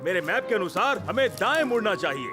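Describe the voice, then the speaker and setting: A man's voice: high voice, very animated, fairly quick, over music. A man voicing a bossy, stubborn older fire chief in a dubbed children's cartoon, commanding and pompous. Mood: stubborn, insistent.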